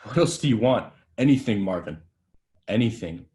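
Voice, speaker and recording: A man's voice: on the low side, animated, normal speed, warm tone, a little hiss or hum, lightly acted. A man reading a desperate young male actor in a table read, stammering and pleading. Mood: begging.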